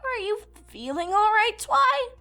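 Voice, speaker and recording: Woman doing a cartoon pony gal, really high, casual slangy n brash, bouncy intonation. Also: sing-song, a little slow, pushed and bright, faint music behind, full character voice. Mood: baffled. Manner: breezy, slangy. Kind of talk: cartoon fan fiction dramatization.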